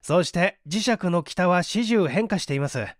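Male reader as learned male detective, didactic and assured, high lively voice, mystery audiobook. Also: normal speed, no room sound, clean studio sound. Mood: patient, instructive. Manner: didactic, articulate. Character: male detective scientist, assured, patiently explaining his deductions. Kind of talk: dramatised audiobook reading.

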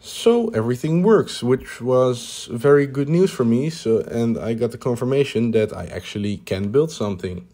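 Mellow-voiced man narrating his hobby build. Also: mid-range voice, expressive, moderate pace, hardly any pauses. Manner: hesitant, many "uh" fillers, plain upbeat remarks. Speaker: hobby builder showing his home cockpit project. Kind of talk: casual voice-over narration.

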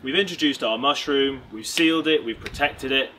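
Bright upbeat British man teaching. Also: medium pitch, normal speed, slight background noise. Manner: upbeat, clear instructional delivery. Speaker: presenter. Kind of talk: step-by-step tutorial. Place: outdoors in a garden and woods.

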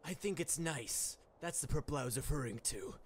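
A man's voice: a little high, lively intonation, moderate pace, a loud crowd, close to an everyday voice. A man voicing an awkward, earnest, dorky young hero in a comedic game fan dub, rambling over music. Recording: loud music behind the voice.

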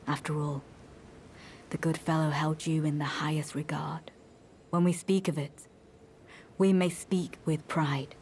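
Low-voiced, devout lady knight. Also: in a large hall, slight background noise. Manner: measured, solemn, formal. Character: female knight, devout, courteous, coldly menacing zealot. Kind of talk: video game voice acting, formal archaic dialogue.

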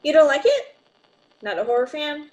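A woman acting in a radio drama as a calm, collegial academic doctor co-hosting a broadcast. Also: high voice, normal speed, poor audio. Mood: friendly. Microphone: close studio mic on boom arm.

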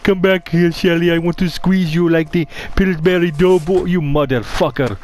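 A man's voice: on the high side, fairly even intonation, moderate pace, a little mellow, lightly acted. A man voicing a bumbling, foul-mouthed killer devoted to his mother, talking in stilted broken English while commenting on his gameplay. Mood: angry threats. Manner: broken English, dropped articles, stilted phrasing. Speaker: gamer playing a horror game in character.

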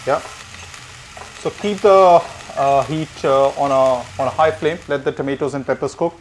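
A man's voice: medium pitch, moderate pace, slight background noise, rough recording. A man in his thirties, a chef, giving a cooking demonstration in an indoor kitchen.

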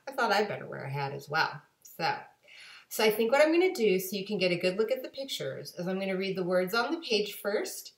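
A woman in her forties reading a storybook aloud to children with expressive, deliberate emphasis. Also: fairly low pitch, vocal fry. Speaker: guest storybook reader. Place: home kitchen-dining room. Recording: echoey home room, webcam microphone.

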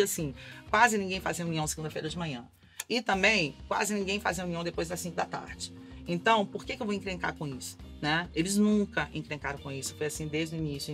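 Energetic woman with a Mineiro accent. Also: on the low side. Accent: Mineiro Brazilian Portuguese. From Belo Horizonte, Brazil. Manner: energetic, talks with her hands, frequent "né?". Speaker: advertising executive, interview guest. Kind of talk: seated studio interview. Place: small indoor studio set.

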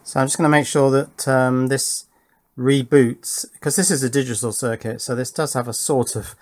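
A man's voice: medium pitch, steady tone, normal speed, dry recording. Middle-aged man musing at his workbench. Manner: musing aloud, matter-of-fact. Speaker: electronics hobbyist presenter. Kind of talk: casual hands-on workbench narration. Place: indoor home workbench.

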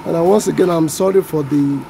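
Nigerian man over a loud generator hum. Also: on the high side, gravelly. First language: Yoruba. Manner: unhurried, self-deprecating, stops to sound out plant names. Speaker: vlogger. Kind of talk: casual walk-through narration. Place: outdoor backyard compound. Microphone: handheld phone camera, off-screen. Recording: generator noise behind phone audio. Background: generator running.

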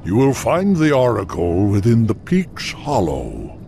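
Big old minotaur chief for a video game, proud n solemn, slow talker, faint music behind. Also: male voice, fairly low pitch, huge pitch swings, vocal fry, dark tone, heavily acted. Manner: slow, solemn, formal speech. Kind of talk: fantasy video game acting.

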